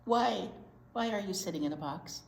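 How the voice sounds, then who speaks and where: A woman hosting a children's storytime, reading aloud in an expressive, exaggerated, playful way. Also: mid-range voice, normal speed. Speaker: children's storytime host and teacher. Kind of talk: read-aloud to young children. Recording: roomy echo, clear background noise.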